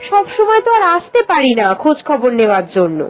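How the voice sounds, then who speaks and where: A woman's voice: high voice, sing-song, fast. A woman voicing a young village wife who speaks to her aunt in an affectionate, pleading way. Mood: caring. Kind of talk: animated cartoon voice acting.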